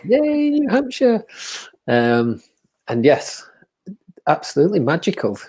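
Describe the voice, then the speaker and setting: A man who works with children, reflecting in a soft, thoughtful way during a casual chat on a video call. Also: a little high, wildly swooping pitch, slow pace. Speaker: educator and co-host.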